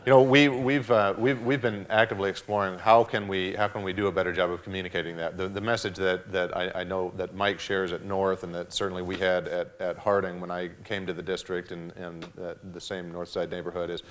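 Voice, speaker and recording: A man's voice: low voice, little pitch movement, fairly quick, hardly any pauses. A man who is a school district administrator, answering a question at a town hall panel in an earnest way, with many restarts and repeated words.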